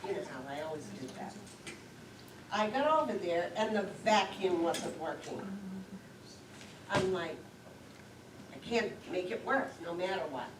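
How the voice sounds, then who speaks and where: A woman's voice: on the low side, lively intonation, a little slow, long pauses. Woman acting a chatty older sister, distant and echoey. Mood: aggrieved. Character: chatty, nagging older sister, woman with aches, self-absorbed. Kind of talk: amateur stage play dialogue. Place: lakeside community theater stage, picked up from afar. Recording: distant echoey stage recording, clear noise.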